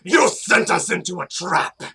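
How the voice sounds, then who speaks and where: A man voicing a fierce, commanding dark warrior cat in a dramatic fan-made audiobook, stern and accusing. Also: high-pitched, animated, a little slow, breathy edge, exaggerated voice. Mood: furious, accusing.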